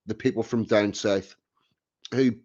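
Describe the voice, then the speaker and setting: A man with a fairly low voice talks slowly and bluntly, giving strong opinions and restarting his sentences. The sound is muffled and lo-fi. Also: steady tone, a little mellow. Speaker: host. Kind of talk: casual chat. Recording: dull lo-fi sound.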